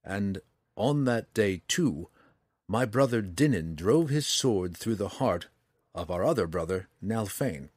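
A man voicing a guarded, solemn male dark elf in a fantasy audiobook, speaking softly and gravely. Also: fairly low pitch, sing-song, dry recording, studio quality. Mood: calm, matter-of-fact. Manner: soft, measured, grave.

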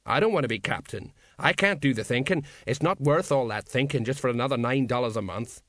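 A man voicing a gloomy, plain-spoken older watch sergeant, flat and colloquial, in a storybook reading. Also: medium pitch, fairly quick, no room sound, studio quality, lightly acted. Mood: glum explaining.

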